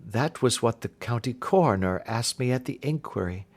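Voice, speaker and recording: A troubled young gentlewoman of a Victorian storybook , voiced by a man reading aloud. She speaks earnestly and formally, carefully laying out her account. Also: medium pitch, expressive, moderate pace. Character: Helen, distressed young gentlewoman recounting her sister's death.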